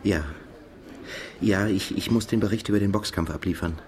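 An actor as a reserved Russian émigré journalist in a radio drama. His voice is low, and he talks politely, with some hesitation, in close, conversational dialogue. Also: normal speed, a little mellow, slight background noise, lightly acted. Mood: hesitant.

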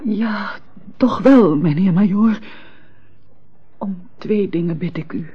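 An actress in a radio drama playing a remorseful, proud and tormented estranged wife who speaks formally and theatrically. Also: female voice, medium pitch, takes it slowly, husky. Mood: solemn remorse. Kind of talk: classic European radio drama acting. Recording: dull lo-fi old radio recording.

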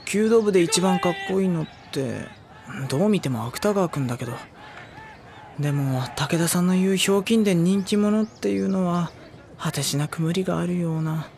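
An actor playing a gentle, worrying high-school boy in a Japanese audio drama, soft-spoken and polite. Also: normal speed, creaky, slight background noise. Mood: puzzled. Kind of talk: Japanese audio drama acting with introspective narration.

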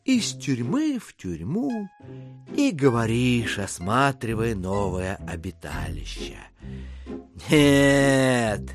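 Sly gentleman schemer, very slow drawl, swooping intonation, self-amused, audio-play monologue. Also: medium pitch, full character voice.

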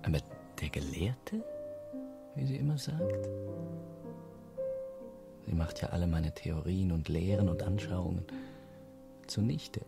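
An actor playing a serious, skeptical young scholar in a radio drama, dry, measured and introspective. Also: male voice, sits low, animated, creaky, loud music behind the voice, full character voice. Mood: brooding. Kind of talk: German radio drama acting.

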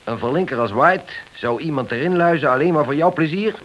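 Stern police inspector, muffled recording. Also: male voice, fairly high pitch, expressive, fairly quick, a bit bright. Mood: sceptical. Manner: curt, accusing, commanding. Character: stern, suspicious, sarcastic male police inspector. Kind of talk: radio play acting. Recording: dull, slightly hissy old radio drama recording.

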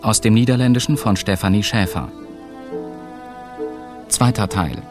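A man with a husky, breathy voice reading radio drama credits in a measured, sober, formal way. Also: on the low side. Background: prominent string music.

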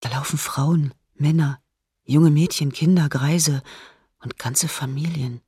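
An actress playing a grave, intense eyewitness giving testimony in a documentary radio drama. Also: female voice, deep voice, steady tone, slow pace, dark tone, slightly rough, no echo, studio quality, exaggerated voice. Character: eyewitness recalling endless crowds, grave, intense.

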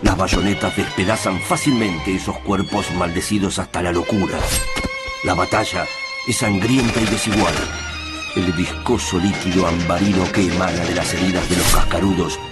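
An actor as the narrator of a radio drama about an alien war, delivering scripted lines with grave intensity. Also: male voice, low-pitched, fairly even intonation, normal speed, over loud music, natural voice. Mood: intense.

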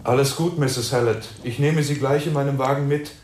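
A man's voice: medium pitch, fairly even intonation, fairly quick, a little mellow, close to an everyday voice. Guy playing a concerned doctor, soothing and polite, echoey with faint noise, radio drama. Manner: polite, reassuring. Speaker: actor in a radio crime drama. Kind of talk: radio play acting.

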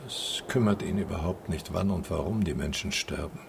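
An actor playing a pensive, melancholy film director in a German radio drama, musing earnestly. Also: male voice, deep voice, moderate pace, vocal fry, noisy background.

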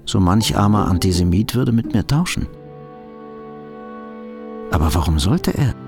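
A man's voice: deep voice, big pitch swings, a little slow, over music, full character voice. An actor playing an anxious, bitterly ironic fugitive businessman in a radio drama, thinking aloud in interior monologue.

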